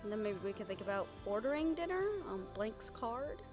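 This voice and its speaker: A young woman playing an affectionate, teasing girlfriend in an intimate audio roleplay, speaking in a halting, tender and wry way. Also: medium pitch, expressive, over music, close to an everyday voice. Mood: lighthearted. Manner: halting, tender, wryly teasing, casually sweary.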